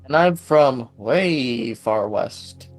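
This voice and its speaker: A man's voice: medium pitch, expressive, drawn-out words. A man playing a tabletop roleplaying game, speaking casually in character with the group. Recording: music behind the voice. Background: music.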